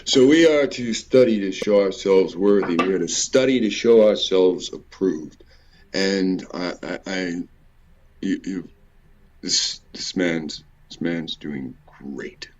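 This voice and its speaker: A man's voice: sits low, slow pace, a little mellow, low-quality recording. A man hosting an informal, impassioned commentary, ranting with repetition, rhetorical questions and swearing. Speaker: host of a religious and historical commentary show.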